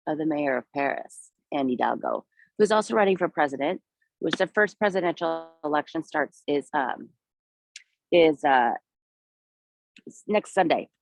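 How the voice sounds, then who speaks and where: A woman with a slightly low voice, hoarse from a spring cold, leads a live walking tour through city streets and a small park. She talks in a chatty, meandering way, stopping to point things out. Her voice sounds dry and close with no room echo.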